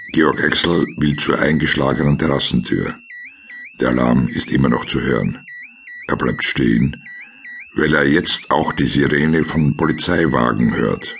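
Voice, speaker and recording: A man's voice: very deep voice, gravelly, fairly bright tone, breathy edge. A man narrating a radio play, reading scene directions in a terse, matter-of-fact way.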